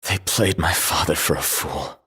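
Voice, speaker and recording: A man's voice: a bass voice, moderate pace, pushed and bright, breathy edge, exaggerated voice. An actor playing a young, bitter, defiant prince grieving his father in a video game cutscene. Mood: guarded. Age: young adult.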